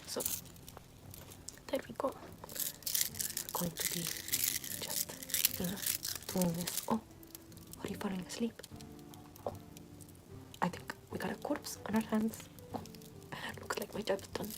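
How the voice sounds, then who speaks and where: A woman playing a callous, morbid medieval nun in ASMR roleplay, murmuring in a soothing, repetitive deadpan. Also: mid-range voice, unhurried, long pauses, noisy background, natural voice. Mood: morbid, casual. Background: music.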